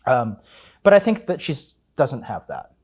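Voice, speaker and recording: A man of about fifty telling his family story in an oral history interview, relaxed and full of fillers and restarts. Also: mid-range voice, measured pace, husky. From United States. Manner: relaxed, many fillers, self-correcting.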